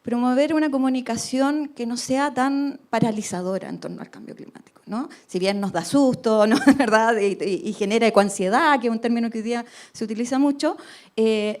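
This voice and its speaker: A woman's voice: a little high, darkish tone. Thoughtful woman researcher on a panel. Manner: thoughtful, tag questions, restarts. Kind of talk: radio panel discussion.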